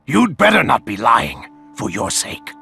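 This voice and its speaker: A man playing a stern, menacing armored bear in a video game, deliberate and commanding, with a gravelly, forceful voice. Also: medium pitch, huge pitch swings, exaggerated voice. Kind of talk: video game cutscene acting.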